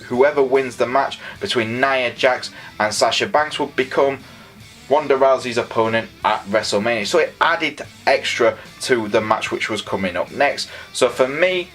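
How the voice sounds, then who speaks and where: A man with a Yorkshire accent giving a chatty, enthusiastic solo review of a wrestling show, often jumping up in pitch on his opinions. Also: moderate pace, fairly bright tone, soft background music. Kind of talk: casual solo review commentary.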